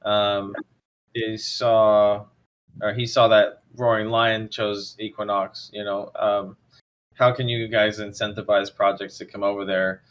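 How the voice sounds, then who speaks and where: Man, low voice, laid-back host, lots of ums. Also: little pitch movement, measured pace, a bit bright. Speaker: host of crypto investor AMA. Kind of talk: casual online chat.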